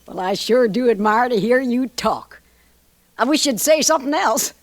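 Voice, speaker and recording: Radio western madam: American, coy, gushing, folksy, with a high, very animated voice. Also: female voice, moderate pace. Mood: fond, admiring. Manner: gushing, familiar, drawling folksy talk. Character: flirtatious, folksy madam of a frontier boarding house. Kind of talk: old-time radio western acting.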